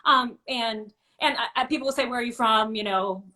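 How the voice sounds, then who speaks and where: A woman broadcaster hosting a casual interview, chatting and telling stories in an animated way. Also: fairly high pitch, moderate pace.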